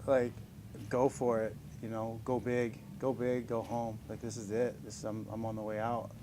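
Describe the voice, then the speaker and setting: A man's voice: lowish voice, level tone, moderate pace, noisy background. A man in his forties answering reporters' questions in a casual, upbeat way, often chuckling and joking about himself. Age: 40s. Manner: upbeat, chuckling, self-deprecating. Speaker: professional fighter being interviewed. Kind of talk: casual press scrum answers.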